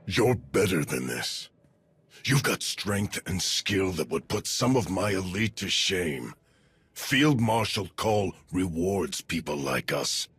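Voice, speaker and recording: Menacing game villain, rough hard-edged voice, swinging intonation, arrogant, intimidating. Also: male voice, deep voice, very animated, slow, fairly bright tone, full character voice. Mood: sneering, coaxing. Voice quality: gravelly, rough. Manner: taunting, intimidating. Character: menacing, arrogant male raider enforcer in sci-fi. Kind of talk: video game cutscene acting.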